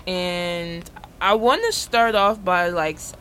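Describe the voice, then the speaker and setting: A young woman hosting a casual talk radio show, easygoing and relaxed as she chats and introduces a guest. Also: on the low side, takes it slowly, slight background noise. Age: young adult. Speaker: host. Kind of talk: casual chat and interview hosting.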